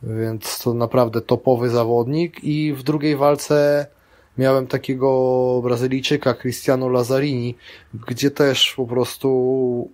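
A man's voice: mid-range voice, level tone, unhurried, a little mellow. A middle-aged man, a grappling athlete and coach, talking in a casual interview with many restarts and fillers.

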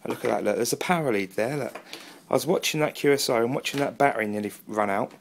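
A man's voice: medium pitch, quick pace, gravelly, a little hiss or hum. A man with an English accent and a mid-range voice chats informally like a hobbyist making a home video. He tends to ramble and is a little self-deprecating, keeping everything casual. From England. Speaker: amateur radio hobbyist and video reviewer. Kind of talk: casual product review and radio chat. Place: indoors at a wooden table, off camera.

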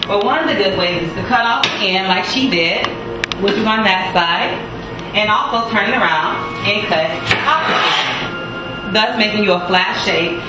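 Woman doing a down-home cooking show, chatty, far off mic in a boomy kitchen. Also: moderate pace. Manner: chatty, folksy, repeats "gonna go ahead". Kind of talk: casual cooking demonstration. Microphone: distant mic. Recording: echoey distant room with loud music. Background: loud music.

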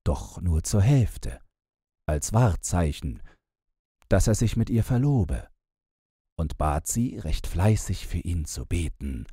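A man, narrating a bedtime fairy tale in a measured, gentle storytelling manner, close to the microphone. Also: low voice, lively intonation, husky, dry recording. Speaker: audiobook narrator.

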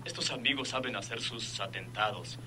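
A man's voice: fairly low pitch, normal speed, bright, forceful tone, slight background noise. A man playing an earnest, respectful son and investigator with a Mexican accent in a radio soap. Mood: grave reporting. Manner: respectful, formal.

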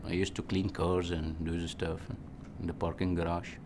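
A man in his forties speaks with an Egyptian accent in a very low, deep voice. He answers interview questions slowly and haltingly, often restarting and adding "you know." A faint hum of room noise sits behind him. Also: level tone. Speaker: physician.

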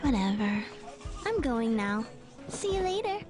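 A coy, willful young woman in a video game, high-pitched and lilting. She teases with very lively swings of pitch over clear background music. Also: a little slow. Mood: pouty, teasing. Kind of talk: video game cutscene voice acting. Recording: music under dialogue.

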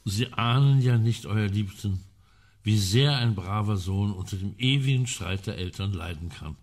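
A man acting in a German radio crime drama as a gruff, sardonic colonel who muses aloud emphatically. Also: lowish voice, moderate pace. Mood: dry, emphatic. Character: gruff, sardonic male colonel in an amateur sleuthing trio.

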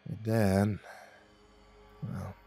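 A man doing a gruff older storyteller uncle. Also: fairly low pitch, level tone, very slow, mellow, dry recording, faint music behind, full character voice. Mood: grim. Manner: gruff, blunt, profane. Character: older man recounting a dark family secret.

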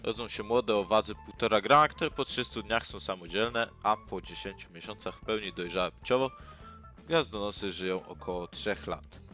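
A man's voice: on the low side, normal speed, sharp tone, faint music behind. Young man casually explaining animal facts. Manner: casual, with fillers and self-corrections. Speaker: nature channel host. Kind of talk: informal educational narration.

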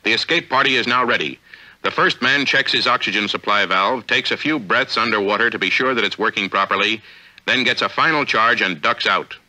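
Man, American accent, 1950s training-film narration, very bright forceful voice, authoritative, measured, thin vintage soundtrack. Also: on the low side, rough recording.

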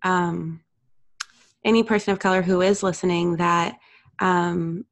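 A woman co-hosting a podcast, speaking thoughtfully and haltingly, with many pauses and fillers. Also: on the low side, steady tone. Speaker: co-host. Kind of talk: casual podcast conversation.

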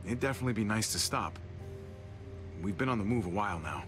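A man's voice: on the low side, animated, fast talker, music in the background, lightly acted. A male actor playing a weary, caring, wry young man on the road in a zombie apocalypse video game. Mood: weary, low-key. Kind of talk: naturalistic video game drama acting.

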